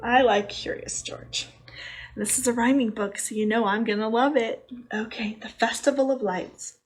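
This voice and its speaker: A woman's voice: fairly high pitch, steady tone, moderate pace, low-quality recording. A woman hosting a children's storytime, reading a picture book aloud with simple, chatty asides to the young listeners. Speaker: storytime host.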